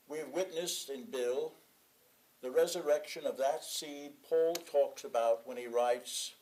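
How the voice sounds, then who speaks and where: A man reading a written sermon aloud, with measured, deliberate phrasing and pauses between phrases. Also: on the high side, darkish tone, poor audio. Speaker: sermon reader. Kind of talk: sermon read from a written text.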